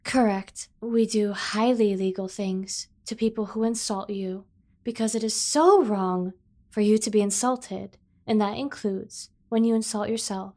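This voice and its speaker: A woman's voice: mid-range voice, a little slow, a little mellow, natural voice. A woman voicing an obsessive, protective yandere sibling in an ASMR roleplay, speaking with earnest, over-the-top devotion. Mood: fiercely protective. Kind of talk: scripted ASMR roleplay addressed to the listener.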